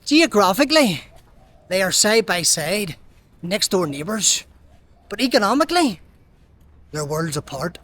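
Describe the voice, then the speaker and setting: A man's voice: high-pitched, sing-song, unhurried, long pauses, a bit bright. A man with a Belfast Northern Irish accent, presenting an upbeat travel vlog and interviewing people outdoors in a city. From Northern Ireland. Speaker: travel vlogger.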